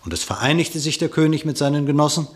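A man narrating a verse fable for a radio play, expressive and measured in his declamation. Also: on the high side.

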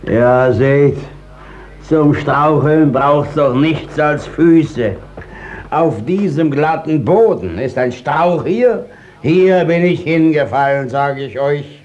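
An actor playing a battered, sly village judge in a 1950s German radio play, evasive and theatrically blustering. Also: male voice, a little high, slow, a little mellow, dull audio. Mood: defensive grumbling.

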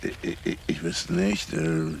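Old father, very slow, gravelly, stammering, evasive, radio drama, noisy outdoor background. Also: low-pitched, flat delivery. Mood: uneasy, mumbling. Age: older. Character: evasive old father, mumbling and guilt-ridden. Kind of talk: radio play dialogue.

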